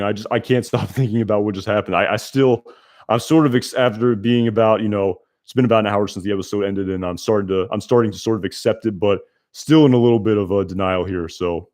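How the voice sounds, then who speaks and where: Young male streamer, loud and a bit low-pitched, rambling and casual, lots of fillers. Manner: rambling, many fillers and restarts. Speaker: YouTube reviewer hosting a live stream. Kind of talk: casual live-stream chat reading comments.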